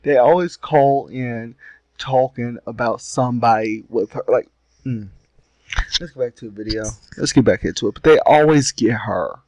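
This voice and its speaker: A man's voice: medium pitch, moderate pace, darkish tone, no room sound, lo-fi sound. A man hosting a reaction video, chatting casually and laughing over the clips he plays.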